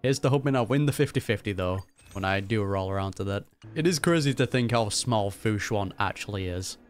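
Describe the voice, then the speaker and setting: A man's voice: fairly low pitch, animated, brisk, soft background music. A man giving casual, slangy, off-the-cuff commentary as he reacts to a video game. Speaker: gaming reaction host.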